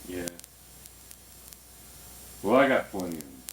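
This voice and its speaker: Coaxing earnest 40s man, low voice that swings a lot. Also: lively intonation, measured pace, a little hiss or hum, poor audio.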